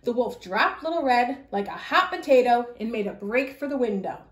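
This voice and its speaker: A woman with a fairly high voice reads a children's story aloud. Her narration is expressive, and the room adds a clear echo. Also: normal speed. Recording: echoey room.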